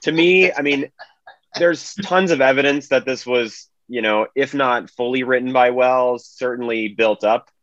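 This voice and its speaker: A dry, slightly dull recording of a man with a mid-pitched voice. He gives informal analysis as a podcast panelist, explaining things conversationally. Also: a little slow, a bit bright, dry recording.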